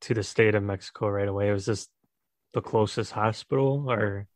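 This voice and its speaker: Low-voiced American host, dry close sound. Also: male voice, moderate pace, no echo. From United States. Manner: relaxed, filler-heavy questioning. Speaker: podcast host. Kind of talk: casual podcast chat.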